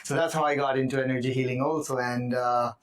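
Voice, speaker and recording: A man who speaks English as a second language, telling his life story slowly on a podcast with many pauses. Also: medium pitch, steady tone. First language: not English. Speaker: podcast guest. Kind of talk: casual personal storytelling. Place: home studio, indoors.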